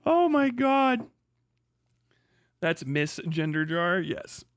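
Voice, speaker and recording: A man's voice: sits high, sing-song, very slow, no echo, studio quality. A young man streaming, chatting casually in a crude, incredulous way with frequent laughter. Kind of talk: casual chat.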